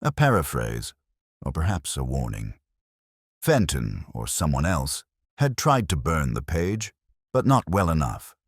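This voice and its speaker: A man playing a melancholy, literary police detective, recounting a case in a measured, solemn, reflective way as scripted audio mystery narration. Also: rumbling low, very animated, slightly rough, dry recording, clean studio sound, heavily acted. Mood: brooding, reflective.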